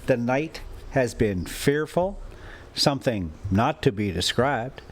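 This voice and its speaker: A man reading a classic adventure story aloud as a storyteller, in deliberate, halting phrases with an informal touch. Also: mid-range voice. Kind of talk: audiobook-style reading with casual asides.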